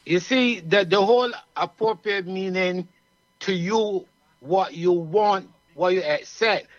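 A man with a Barbadian accent talks earnestly and at length, as if explaining something to a friend on air. His voice sits a little high. The sound is dry and somewhat muffled. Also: slow pace, dry recording. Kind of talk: casual radio chat.

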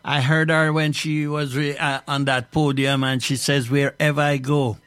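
A man hosting a casual radio chat, talking in halting bursts with many restarts. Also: on the high side, flat delivery, fairly bright tone, clear, resonant tone, no echo.

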